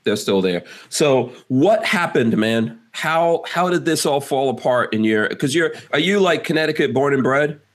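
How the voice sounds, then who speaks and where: A man's voice: medium pitch, lively intonation, moderate pace, few pauses. A man hosting a casual podcast interview, who keeps the talk moving with friendly "right?" questions. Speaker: podcast host.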